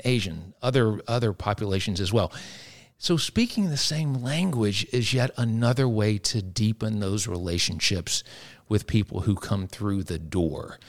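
A man hosting a podcast interview, encouraging and asking open questions. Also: mid-range voice. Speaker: podcast host.

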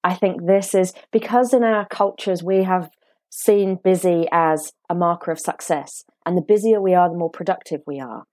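A woman from the United Kingdom with a British accent, a guest explaining her ideas in a measured, reflective way. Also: fairly low pitch, darkish tone, no room sound. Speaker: guest, author and coach. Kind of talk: podcast interview.

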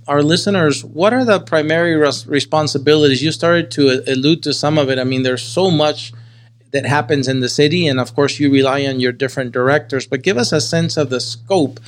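A man hosting a podcast, interviewing casually and conversationally, often repeating words as he forms his questions. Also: a little high. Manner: halting, repeats words while forming questions. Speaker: podcast host.

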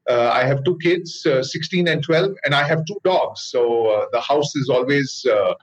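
A middle-aged man with an Indian accent, a business leader answering interview questions in a measured way, often pausing with "uh". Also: medium pitch, highs cut off. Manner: thoughtful, with frequent "uh" pauses.

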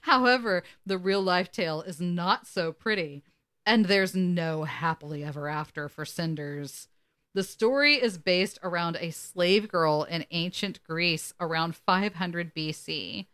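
A woman's voice: on the low side, measured pace, a bit bright, clean tone. A woman co-hosting a casual podcast, reading articles aloud and dropping in wry asides. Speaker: podcast co-host.

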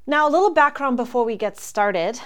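A woman in her forties from Germany, hosting a solo astrology podcast and explaining things calmly, with frequent ums. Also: a little high, brisk.

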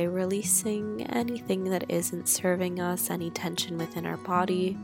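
A woman leading a guided meditation in a soothing, gentle way, with drawn-out phrases and pauses. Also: fairly low pitch, vocal fry. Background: guitar music.

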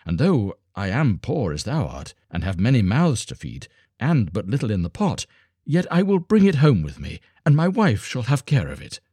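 A man's voice: mid-range voice, moderate pace, slightly rough, dry recording, pitched above the performer's own voice. A man voicing a poor, kind-hearted woodcutter who speaks earnestly and firmly. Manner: earnest, steady.

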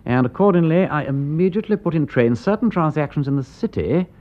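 A male actor as a breezy aristocratic English detective, clever and wry, drawling his old-fashioned slang with very lively intonation. It is classic British radio drama, with a dull, narrow sound. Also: fairly high pitch, brisk, close to an everyday voice. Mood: reading aloud. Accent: upper-class English.

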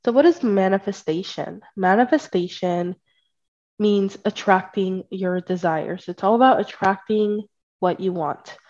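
A woman's voice: medium pitch, a little slow, darkish tone. A woman hosting her own podcast, talking casually while stuffy and congested from a cold, often checking in with "right?" and "okay?". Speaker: podcast host and spiritual life coach. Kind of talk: casual solo podcast talk. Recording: dull lo-fi sound.

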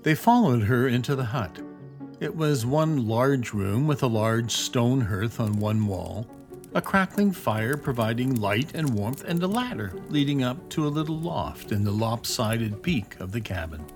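A man narrating a children's fantasy story as a measured storyteller. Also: mid-range voice, expressive, faint music behind. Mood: measured, descriptive.

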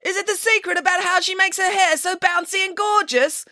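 A woman's voice: extremely high pitch, fairly even intonation, fairly quick, bright, forceful tone, dry recording, clean studio sound, pitched above the performer's own voice. A woman voicing eager journalists in a children's storybook, calling out questions at a press conference.

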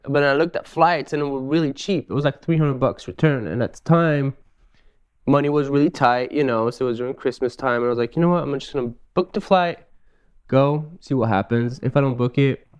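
This is a man with a smooth, resonant voice. He sits at a middle pitch and tells his stories loosely, with plenty of casual fillers. He sounds laid-back and conversational as a podcast guest. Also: brisk. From Switzerland. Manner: relaxed, easygoing storytelling with frequent "you know". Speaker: podcast guest, live show dancer. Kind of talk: casual interview chat.

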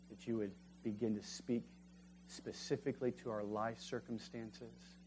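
A man preaching a sermon as pastor and leading a closing prayer, fervent, swinging from emphatic peaks to hushed prayer. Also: lowish voice, noisy background. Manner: fervent, rising to emphatic peaks then dropping to quiet prayer. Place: church sanctuary.

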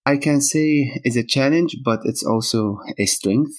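A man's voice: medium pitch, a little slow. A middle-aged man from Syria, a physician guest answering interview questions in a hesitant way with many fillers. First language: Arabic.

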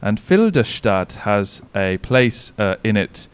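Chatty man with a low, smooth voice. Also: sing-song, takes it slowly. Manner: chatty, rambling, many restarts and fillers. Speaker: podcast guest, sauna business owner. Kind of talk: casual podcast interview. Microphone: remote recording call.

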